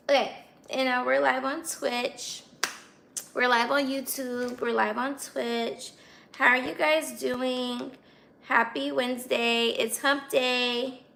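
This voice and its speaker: Raspy young American woman, casual livestreamer. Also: high voice, bright, forceful tone. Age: 20s. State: slightly hoarse voice. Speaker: livestream host.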